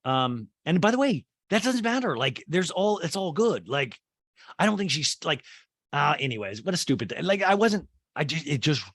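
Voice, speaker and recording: A man's voice: fairly high pitch, sing-song, moderate pace, fairly bright tone, no room sound, studio quality. An American man hosting a podcast, giving a casual comic recap in a rambling, self-interrupting way. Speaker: podcast host.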